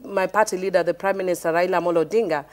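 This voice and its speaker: A woman's voice: fairly low pitch, fairly even intonation, very fast, a little mellow. A Kenyan woman on a televised panel, arguing her point with passion and repeating it insistently. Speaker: member of parliament, panel guest. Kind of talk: heated panel debate.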